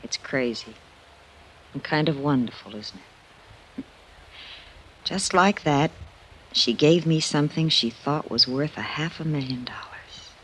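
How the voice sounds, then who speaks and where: Casting: sincere, ingenuous woman, highly expressive pitch, breathy, halting, old-time radio drama. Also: a little hiss or hum, rough recording. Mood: tender, wistful. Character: tender-hearted, ingenuous woman, loyal and easily moved. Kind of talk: 1950s radio drama acting. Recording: vintage radio drama recording.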